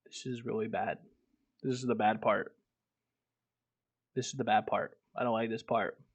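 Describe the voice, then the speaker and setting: A man's voice: fairly low pitch, steady tone, normal speed, breathy edge. A man reading a book aloud as a streamer, breaking off into casual, irreverent, swearing commentary. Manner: irreverent, profane asides.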